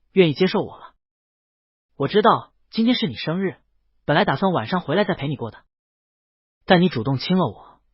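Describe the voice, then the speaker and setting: A man's voice: on the high side, breathy edge, no room sound. A man voicing a proud, possessive, lovesick young man in an audiobook romance, coaxing and intense. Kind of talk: audiobook character dialogue in a romance. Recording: dull lo-fi, very dry close recording.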